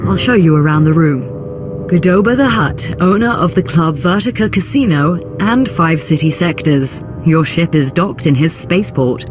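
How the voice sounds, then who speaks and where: A woman's voice: low-pitched, expressive, normal speed. Formal female imperial operative over music. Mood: courteous, pleased. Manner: formal, polished, deferential. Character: smooth, ambitious female imperial diplomatic operative. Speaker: imperial special operations chief. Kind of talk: dramatic video game dialogue.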